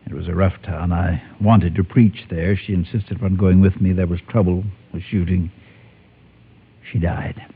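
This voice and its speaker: A low, husky man's voice carries a former gunfighter who became a preacher and is crushed by guilt. He confesses gravely and haltingly in vintage Western radio style. The recording is thin and tinny, like a telephone. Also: level tone, heavily acted. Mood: grieving, bitter. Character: haunted former gunfighter turned preacher, guilt-ridden man. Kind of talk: 1950s Western radio drama acting. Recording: muffled, tinny old-time radio recording.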